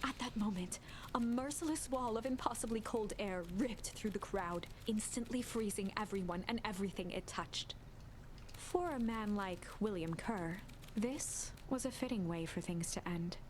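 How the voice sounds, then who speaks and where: A woman reading game narration aloud like a dramatic storybook, in a theatrical, measured manner. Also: medium pitch, a little hiss or hum. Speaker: let's play narrator. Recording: loud music behind the voice.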